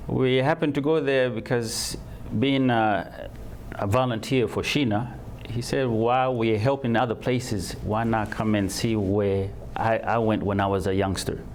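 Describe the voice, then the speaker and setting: A man from Tanzania answers an interviewer's questions in a low voice. He talks haltingly, repeating words and restarting sentences as he searches for the right phrasing. A faint noise sits under the recording. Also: darkish tone. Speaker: guest.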